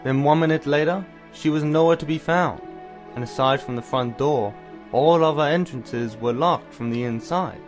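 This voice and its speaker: Man acting a calm, bookish older brother. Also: on the high side, faint music behind, close to an everyday voice. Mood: knowing, patient. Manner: measured, explanatory, slightly formal. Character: calm, caring, bookish older brother who explains things. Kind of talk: anime-style audio drama acting.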